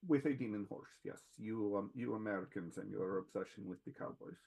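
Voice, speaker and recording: A man voicing a blunt Russian-born superpowered man in a tabletop game, with a put-on Russian accent, husky, halting as he gropes for English words. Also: lowish voice, darkish tone. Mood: curious. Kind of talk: tabletop roleplay in character.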